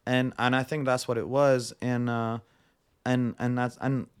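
A man's voice: medium pitch, a little slow, clear, resonant tone. A young man raised in Montreal, a podcast guest telling stories in casual chat, blunt and profane, acting out the dialogue. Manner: animated, acts out quoted dialogue.

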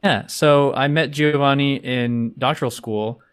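A man's voice: medium pitch, steady tone, unhurried, a bit bright, clear, resonant tone. A man hosting a podcast, welcoming a guest in a friendly way and asking short questions. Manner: friendly, brief affirmations. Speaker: podcast host.

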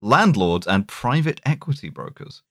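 A man's voice: medium pitch, big pitch swings, normal speed, no echo, clean studio sound. A man co-hosting a casual podcast, ranting in exasperated, sweary bursts. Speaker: podcast co-host.

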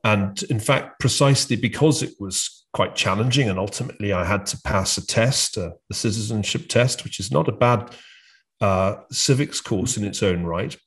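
Soft-spoken British man, measured. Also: fairly low pitch. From United Kingdom. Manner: measured, hesitant with frequent uhs and restarts. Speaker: podcast host. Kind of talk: conversational discussion.

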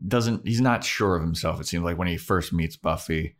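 Young male co-host, deep voice, easygoing and rambling. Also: normal speed. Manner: relaxed, rambling, acts out lines from the show. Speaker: podcast co-host. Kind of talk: casual chat.

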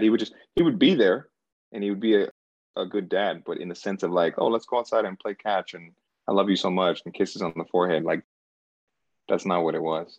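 A man from Cameroon in his thirties, a guest in an interview, talking things through slowly and hesitantly, full of "like" and restarts. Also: low voice, dry recording, dull audio. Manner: searching, hesitant, repeats himself and says "like" often. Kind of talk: reflective conversational interview.

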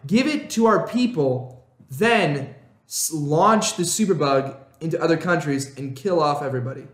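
A young man co-hosting a podcast in casual chat, rambling and excitable, laughing often. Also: a little high, normal speed. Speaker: podcast co-host. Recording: roomy echo.

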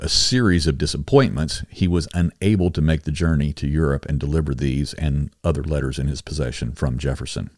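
A man hosting and narrating a history series in a measured, formal, earnest manner, reading from a script with explanatory asides. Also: very deep voice, darkish tone, dry recording, studio quality. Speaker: host and reader of a history series.

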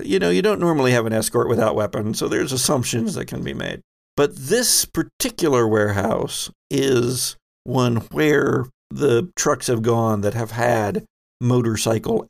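A man hosts a tabletop role-playing game as game master. He talks at an unhurried pace, with some gravel and creak in his voice. His delivery is conversational, moving between scene narration and rules talk. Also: medium pitch.

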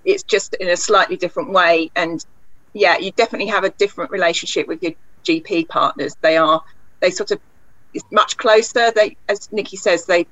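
Woman panelist, bright tense voice, kinda dull lo-fi sound, restarts a lot. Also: lowish voice, fairly quick, no room sound. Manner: thoughtful, self-correcting. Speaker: practice manager partner. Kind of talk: panel discussion.

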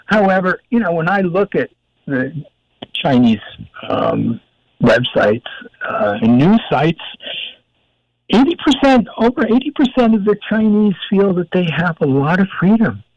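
An older man with a gravelly voice, a guest in an interview, talking in a rambling, emphatic way while searching for words. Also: mid-range voice, dry recording. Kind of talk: casual interview talk. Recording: dull, dry audio.

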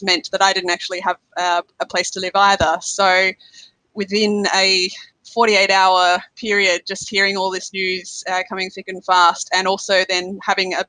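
An Australian woman talks easily as a podcast guest, laughing lightly between phrases. Her voice is bright and forward, and her recording is dry with no room echo. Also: mid-range voice. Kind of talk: casual conversational interview. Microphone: remote call.

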